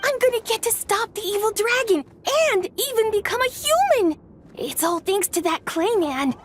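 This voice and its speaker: A woman's voice: very high voice, expressive, normal speed. A woman voicing a small, innocent boy dragon in a video game, earnest, childlike and a little shy. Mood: nervous, hopeful. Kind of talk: video game character acting. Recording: faint background noise.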